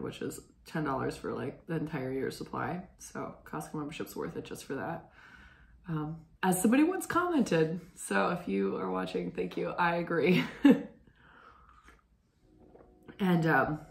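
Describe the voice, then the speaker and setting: Hoarse, low-voiced woman host, echoey room. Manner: rambling, chatty, clears throat often. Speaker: knitting show host. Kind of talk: casual chat.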